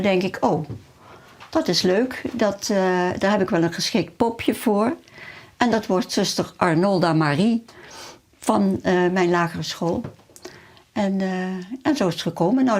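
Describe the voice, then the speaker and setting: A woman's voice: mid-range voice, a little mellow. A middle-aged woman talking about her hobby and memories in a personal interview, as a hobbyist. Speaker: miniature-making hobbyist being interviewed.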